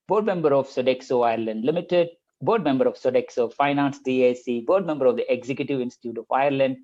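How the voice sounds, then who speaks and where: A man's voice: medium pitch, a little mellow, lo-fi sound. A man hosting a podcast, reading a long guest introduction with light jokes and a few stumbles. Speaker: podcast host. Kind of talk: podcast host introduction and interview.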